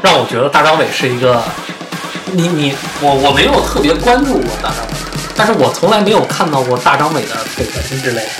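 A man's voice: on the high side, lively intonation, fast talker. A man co-hosting a casual music podcast, speaking emphatically and often repeating himself, with a roomy echo. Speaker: podcast host.

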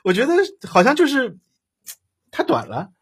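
A man's voice: high voice, huge pitch swings, moderate pace, husky. A man hosting a casual live discussion, rambling and repeating phrases as he works toward his questions. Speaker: host.

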